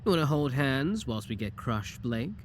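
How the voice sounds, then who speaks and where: A man's voice: mid-range voice, sing-song, normal speed, slight background noise, lightly acted. A male voice actor playing a shameless, flirty tiny clone scientist in an ASMR audio roleplay, teasing and suggestive in a theatrical way. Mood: excited, lewd.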